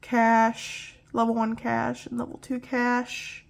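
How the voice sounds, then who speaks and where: A young woman hosts a relaxed tech video, her voice nasal from pollen allergies. She talks in a loose, rambling way, doubling back to correct herself and apologize as she goes. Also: fairly high pitch, takes it slowly, vocal fry. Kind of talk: casual hardware walkthrough.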